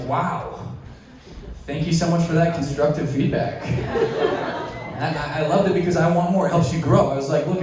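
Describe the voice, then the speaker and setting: A man's voice: on the high side, distant mic. A man performing a self-deprecating, wry stand-up set on a comedy club stage. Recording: echoey club audio from afar. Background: laughing crowd.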